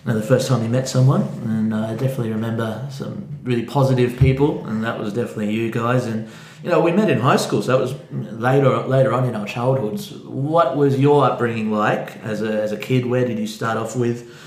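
A man's voice: medium pitch, fairly even intonation, fairly quick, hardly any pauses. A man with an Australian accent hosting a conversational podcast interview. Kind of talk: conversational interview with spoken introduction. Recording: roomy, echoey sound.

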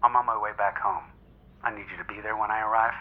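An actor playing a controlling, menacing boss in an audio drama, curt and commanding through a telephone filter. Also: male voice, fairly low pitch, steady tone, fast talker, phone-quality sound. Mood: cool, commanding.

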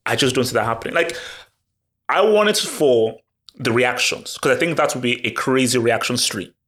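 Man, podcast co-host, casual football chat, animated, stumbles over words, mid pitch. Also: normal speed. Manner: animated, repeats words when excited.

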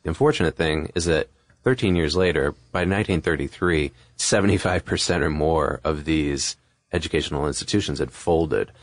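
A man's voice: a bass voice, normal speed, no room sound. A man co-hosting a podcast, talking casually and discursively into a close studio microphone. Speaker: podcast co-host.

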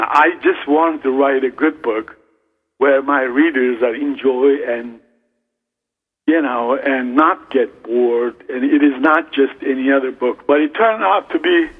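A man from Turkey, a novelist guest talking slowly in a radio interview, often restarting his phrases. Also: mid-range voice, breathy edge. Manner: slow, halting, restarts phrases. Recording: phone line, echoey hall.

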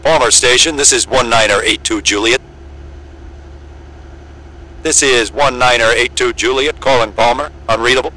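Guy playing a seasoned antarctic pilot, bright voice, breezy and matter-of-fact, audio drama with engine around him. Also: mid-range voice, normal speed, pauses often, natural voice, poor audio. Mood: businesslike. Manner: breezy, matter-of-fact, wry, salty-tongued. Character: gruff, friendly, seasoned male Antarctic bush pilot. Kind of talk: naturalistic audio drama acting with aviation radio jargon.

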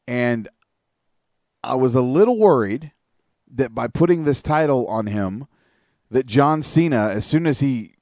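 A podcast co-host rambles through wrestling results, dropping curse words into nearly every sentence. His voice sits at a middling pitch for a man, and it is recorded dry, with no room sound around it. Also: darkish tone, no echo. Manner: profane, opinionated, rambling. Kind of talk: casual wrestling chat.

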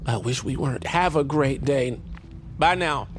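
Slacker, male actor: evasive, hesitant rambler, average-pitched, naturalistic comedy audio drama, noisy ambience. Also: a little slow, lightly acted. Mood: mock brave. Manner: hesitant, rambling, falsely sincere. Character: shifty, fast-fibbing young slacker dodging debt collector.

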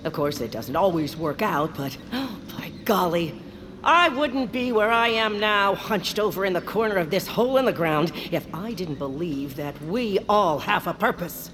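A woman voicing a gruff, weary small creature boss in a comic audio drama, blustery and folksy in speech. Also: lowish voice, animated, a little slow, fairly bright tone, slight background noise. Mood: rousing.

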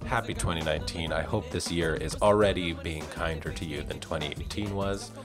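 A man's voice: deep voice, brisk, music in the background. An American man from the suburbs of New York hosting a podcast with informal, enthusiastic, casual talk. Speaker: podcast host and interviewer. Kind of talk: casual podcast intro and interview chat.

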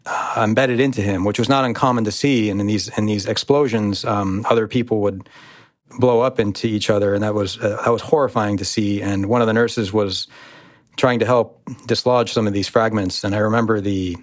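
An American man with a lowish voice speaks as an interview guest. He recounts his experiences reflectively, in halting phrases. The sound is dry, with little room in it. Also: fairly even intonation, dry recording. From United States. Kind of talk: reflective personal storytelling in an interview.

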